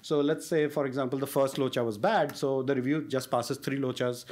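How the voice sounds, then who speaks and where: A young man speaks from a conference stage in an easygoing, joking way, leaning on fillers like "uh" and "like". His voice sits at an ordinary middle pitch, and he presents technical material casually rather than formally. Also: steady tone, brisk, darkish tone. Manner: casual, self-deprecating humor with frequent fillers.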